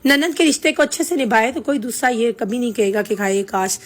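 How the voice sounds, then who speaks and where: A hoarse woman with a sore throat, vlogging casually and earnestly giving her opinion straight to her viewers. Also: a little high, animated, fairly quick. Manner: chatty and earnest, addressing viewers directly.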